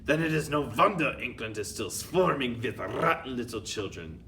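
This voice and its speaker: A man's voice: medium pitch, very animated, moderate pace, a bit bright, far from the mic, slight background noise. A man voicing a tyrannical witch queen, thundering and menacing with odd foreign phrasing. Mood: commanding.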